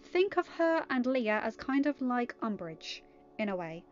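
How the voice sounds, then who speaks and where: A woman's voice: on the high side, very animated, unhurried, muffled recording, faint music behind. A woman with an English accent reading fan fiction and commenting on it casually. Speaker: amateur fan fiction reader. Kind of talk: storybook narration and casual commentary.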